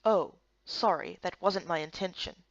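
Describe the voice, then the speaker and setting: A woman voicing a serious, blunt male warrior who leads a guard, low and measured. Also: no echo, muffled recording. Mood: stern, blunt.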